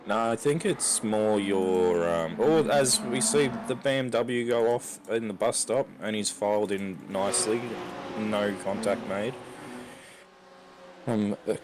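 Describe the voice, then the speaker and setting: A man with a croaky voice giving colour commentary on a sim race. He is halting, with many ums and restarts. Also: on the low side, background noise.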